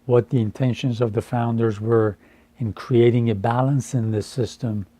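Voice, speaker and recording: A man in his fifties from Iran, a Farsi speaker, speaking deliberately and earnestly to camera with frequent hesitations. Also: medium pitch, steady tone, warm tone, dry recording. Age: 50s. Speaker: translator. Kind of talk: earnest personal address to camera.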